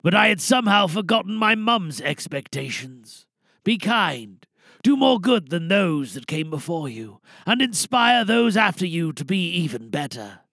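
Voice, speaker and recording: A man playing a weary, resolute older insurance whistleblower, giving a dramatic speech to a crowd with deliberate pauses. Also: high voice, big pitch swings, vocal fry, a bit bright, no room sound, studio quality, exaggerated voice. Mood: rueful, reflective.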